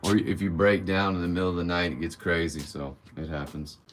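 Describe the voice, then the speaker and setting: Low-voiced guy around 50 chatting on a livestream, blunt, rambly, cusses a bit. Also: level tone, fairly quick, a little hiss or hum. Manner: candid and blunt, rambling, swears casually. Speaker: livestream host answering viewer questions. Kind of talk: casual live-stream Q&A.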